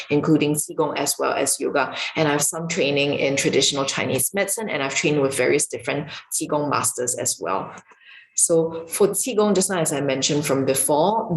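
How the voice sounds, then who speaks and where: A woman from Singapore, a qigong and yoga teacher, calmly giving an online lesson with some filler ums. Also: sits low. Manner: calm, explanatory, with filler ums. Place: home room with marble floor. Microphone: camera mic, video recording. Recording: echoey room.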